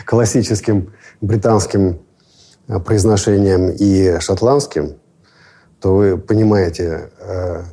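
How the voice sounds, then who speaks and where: Low, mellow-voiced man telling a story. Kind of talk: casual storytelling.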